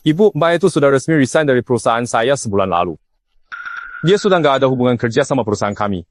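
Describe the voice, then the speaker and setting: Man as company manager, fast talker, firm, formal, drama dub, faint noise and clicks. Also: mid-range voice, expressive, natural voice.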